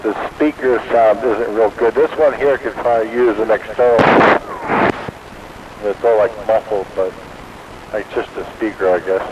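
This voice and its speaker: A man's voice: on the low side, fairly even intonation, normal speed. A man talking casually as an operator over a CB radio link. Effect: two-way CB radio transmission. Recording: muffled, noisy CB radio signal.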